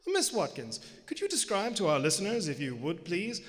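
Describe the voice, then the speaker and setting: A man playing a hearty, theatrical old-time radio announcer and pitchman, polished, showy and emphatic. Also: huge pitch swings, moderate pace. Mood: hearty, salesmanlike. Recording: live theater, long hall reverb.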